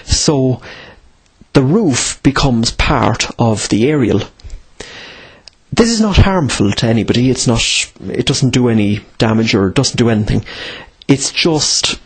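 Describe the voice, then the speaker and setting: A man's voice: mid-range voice, animated, a little slow, breathy edge, rough recording. A man presents an informal instructional talk, often posing questions and answering them himself, repeating key points for emphasis. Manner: asks and answers own questions, emphatic repetition. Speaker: presenter of instructional radio videos.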